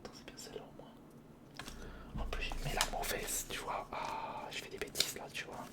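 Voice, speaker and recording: An ASMR creator whispering informal, step-by-step commentary to the viewer while building something by hand, close to the microphone. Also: normal speed. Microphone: close recorder or studio microphone.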